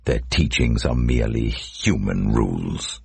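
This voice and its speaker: Guy doing a solemn commanding holy teacher, super low gravelly voice, deliberate, audio bible style. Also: no echo, exaggerated voice. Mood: stern rebuke. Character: solemn, authoritative male religious teacher in scripture.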